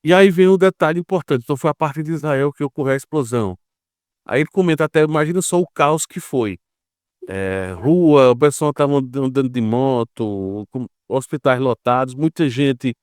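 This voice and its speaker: A man's voice: mid-range voice, moderate pace, a little mellow, clean tone, no room sound, clean studio sound. A Brazilian man giving informal explanatory commentary in Brazilian Portuguese, conversational, often posing rhetorical questions and checking in with short tags. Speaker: geopolitics commentator.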